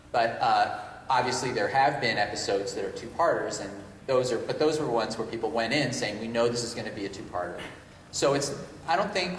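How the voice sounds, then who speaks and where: A man answering as a TV writer on a convention panel, thinking aloud in a halting way with many restarts. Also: lowish voice. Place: large convention panel room. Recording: echoey hall with noise.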